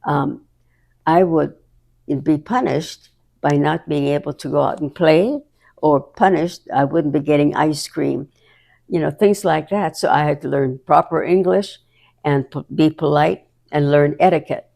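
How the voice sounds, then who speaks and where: A woman's voice: low-pitched, unhurried, vocal fry, darkish tone. A woman in her nineties with a Hawaiian accent, chatting and reminiscing as an interview guest, laughing often. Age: 90s. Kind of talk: reminiscing conversation.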